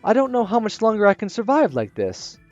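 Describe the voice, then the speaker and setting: Man putting on a bossy teenage girl, whiny, loud, bouncy pitch, muffled sound, soft music. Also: wildly swooping pitch, a little fast. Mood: whiny gushing. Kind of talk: reading a visual novel aloud.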